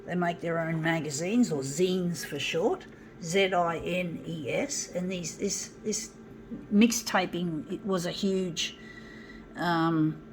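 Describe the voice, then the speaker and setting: Rambling woman with a low voice. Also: steady tone, slow pace, slight background noise, poor audio. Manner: rambling, many fillers and restarts. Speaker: zine and mixtape maker. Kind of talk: casual reminiscing while working.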